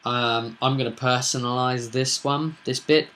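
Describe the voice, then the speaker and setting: A man's voice: medium pitch, steady tone, unhurried, fairly bright tone. A man giving casual, unscripted commentary as a game master, talking in a rambling, chatty way with emphatic bursts. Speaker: game master presenting own session recap.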